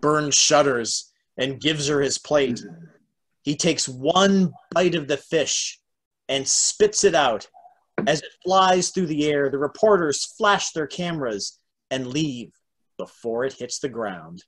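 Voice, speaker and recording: A man's voice: fairly high pitch, expressive, slow pace, a bit bright, poor audio. A middle-aged man narrating stage directions for an amateur table read on a video call at home. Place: room at home.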